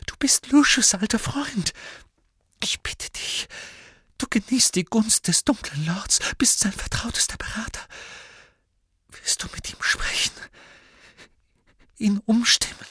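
A man voicing a desperate, pleading middle-aged witch, a frightened mother, in a breathy, tearful whisper for an audiobook story. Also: a little high, wildly swooping pitch, measured pace, pauses often, fairly bright tone, no echo, studio quality, voice pushed up, full character voice. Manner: imploring, broken phrasing.

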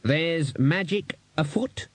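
A man's voice: a little high, expressive, slow pace, slightly rough, no room sound, pitched above the performer's own voice, exaggerated voice. A man voicing a blunt, exasperated, thick-skinned old wizard archchancellor in an audiobook. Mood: growling suspicion. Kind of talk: audiobook character voice.

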